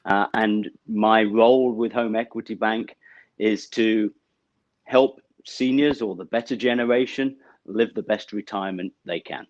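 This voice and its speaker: A middle-aged man from the UK with a British accent, explaining banking products as an interview guest over a video call, measured and full of small fillers. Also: on the low side, steady tone, no echo, lo-fi sound. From United Kingdom. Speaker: bank representative guest. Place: office with banner backdrop, indoors.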